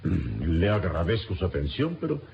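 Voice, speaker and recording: An actor playing a noble, serene wronged hero in an old-time radio serial, speaking with solemn, formal, theatrical dignity. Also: male voice, sits low, huge pitch swings, moderate pace, creaky, heavily acted. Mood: solemn, resolute. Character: noble, serene male hero, honorable wrongly jailed adventurer. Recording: muffled lo-fi radio recording with noise.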